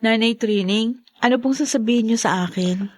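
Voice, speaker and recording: A woman's voice: fairly high pitch, normal speed, no room sound, close to an everyday voice. Actress as an earnest young Filipino mother, dry sound. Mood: patient, defensive. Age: young adult. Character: earnest, patient, hopeful young working mother. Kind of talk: radio drama acting.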